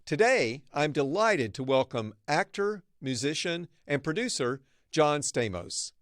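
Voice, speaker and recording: A man hosting an interview podcast, speaking in a measured way with hesitant restarts. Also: on the high side, no room sound, clean studio sound. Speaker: podcast host; medical school dean.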